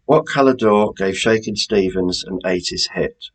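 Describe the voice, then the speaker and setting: A man's voice: fairly low pitch, normal speed, gravelly, low-quality recording. A British man presenting a quiz in a chatty, informal way, as the host reading out questions.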